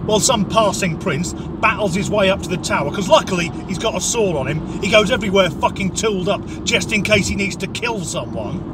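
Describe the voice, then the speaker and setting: High-voiced sardonic Englishman, noisy background. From Norwich area, England. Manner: sweary, sardonic, blunt. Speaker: comic commentator. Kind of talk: opinionated comic rant.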